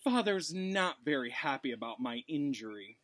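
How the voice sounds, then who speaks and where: A man's voice: mid-range voice, lively intonation, normal speed, fairly bright tone, dry recording, natural voice. A man voicing a smug, spoiled schoolboy bully with a drawling, mock-pitiful delivery in a storybook reading.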